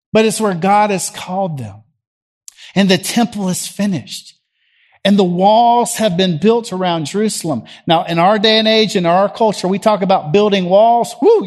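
Man, Southern US accent, middle-aged, preacher, sermon from a church platform, questions the crowd, fairly high voice. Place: church platform before a congregation.

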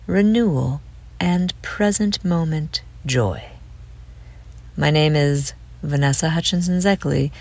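A woman leading a guided relaxation meditation in a gentle, soothing way, with phrase ends trailing off into breath. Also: on the low side, creaky, no echo.

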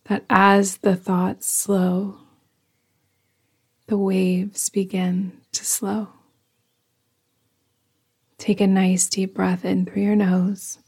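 A woman guiding a yoga nidra meditation in a soft, soothing, hushed voice that often trails into a breathy whisper. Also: mid-range voice, completely flat delivery, extremely slow, pauses often. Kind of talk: guided meditation, slow and soothing.

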